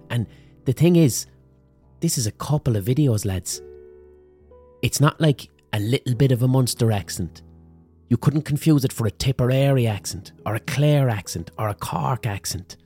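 A man's voice: mid-range voice, warm tone, husky. A middle-aged man with a Limerick Irish accent, hosting a podcast and telling a comic story with lots of swearing and mock accents. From Ireland. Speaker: podcast host. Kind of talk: comic monologue.

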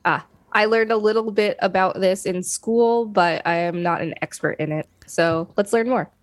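A woman's voice: mid-range voice, moderate pace, no room sound. A woman co-hosting a podcast, chatting in a dry, matter-of-fact way and reading an article aloud. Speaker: podcast co-host.

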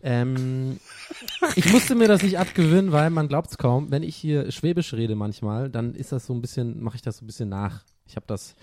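A man's voice: medium pitch, normal speed, darkish tone. A man co-hosting a casual podcast, rambling and giggling, with a light Swabian touch to his German. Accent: light Swabian-tinged German. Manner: giggly, rambling, self-mocking. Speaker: podcast co-host.

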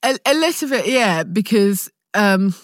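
A woman with a London accent, an actor being interviewed, telling anecdotes in casual, animated chat and acting out her own thoughts. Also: medium pitch, unhurried, no echo, studio quality. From London, England, born in Nigeria. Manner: animated storytelling with self-quoted asides.

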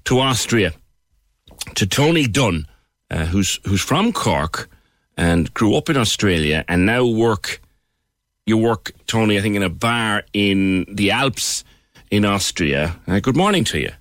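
Deep-voiced Irish radio host, close and dry. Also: male voice, lively intonation, a little slow, a bit bright, no room sound. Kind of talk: current affairs talk and phone interview.

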